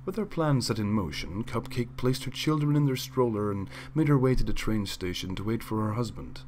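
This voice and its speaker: A man's voice: mid-range voice, fairly quick, slight background noise. Man reading a fanfic aloud. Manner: lively, expressive reading. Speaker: fanfic reader. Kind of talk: storybook narration.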